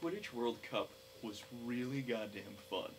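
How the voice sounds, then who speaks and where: A young man co-hosting a casual gaming discussion, speaking in a hesitant, halting way. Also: medium pitch, expressive, slight background noise, rough recording. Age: young adult. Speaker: podcast co-host.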